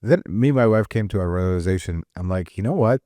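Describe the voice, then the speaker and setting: A middle-aged mechanic from Iraq, talking earnestly in a casual conversation, often repeating and restarting words. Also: male voice, low-pitched, animated, fast talker, dark tone, no echo, clean studio sound. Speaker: mechanic and shop owner.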